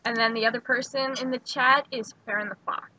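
Young woman streaming a building game, chatty and giggly, breathy voice, kinda muffled lo-fi mic. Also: a little high, fairly even intonation, brisk, bright, forceful tone, no echo. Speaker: livestream host. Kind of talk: casual livestream chat.

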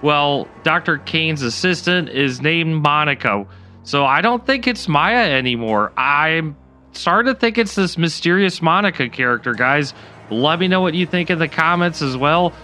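A man's voice: on the high side, expressive, moderate pace, sharp tone. A man hosting a gaming video, explaining lore and walkthrough steps directly to his viewers. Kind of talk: scripted game lore commentary. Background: faint background music.

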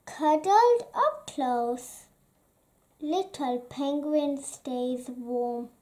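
A woman reading a children's bedtime story aloud in a gentle, lulling manner, phrase by phrase with pauses. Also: high-pitched. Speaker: children's book reader.

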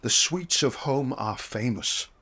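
A kindly older photographer from Denmark in a period audio drama. He is polite and gentle, easing his client into a portrait sitting. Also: mid-range voice, lively intonation, takes it slowly, exaggerated voice.

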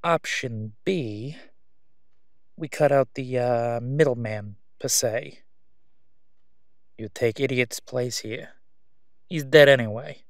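A man acting a smug, sleazy crime boss in an audio roleplay, oily and taunting, with drawn-out, stalling phrases. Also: medium pitch, no echo, clean studio sound. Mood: slyly coaxing. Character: sleazy, menacing Gotham crime boss, a man, smugly haggling.

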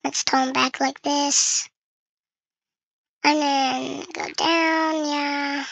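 A very bright, high voice run through a voice changer gives casual game commentary. It is creaky and sounds dry and close, chatting to viewers and thinking aloud while playing. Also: level tone, extremely slow, no echo. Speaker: gaming video creator.

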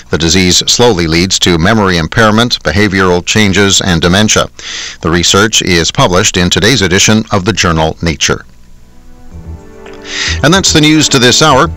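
A man's voice: low voice, bright, forceful tone, dry recording. A man with a Canadian accent reading a scripted hourly radio newscast as anchor.

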